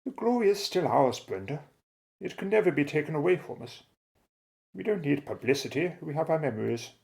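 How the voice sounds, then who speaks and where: A man's voice: on the high side, very animated, brisk, vocal fry, a little mellow. An actor playing a bold, decisive male explorer in a radio drama, giving clipped, matter-of-fact orders. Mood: proud, reassuring.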